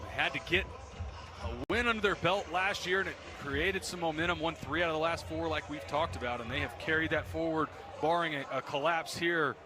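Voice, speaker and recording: A man's voice: on the high side, brisk. A man working as a color commentator, giving analysis during a live football broadcast.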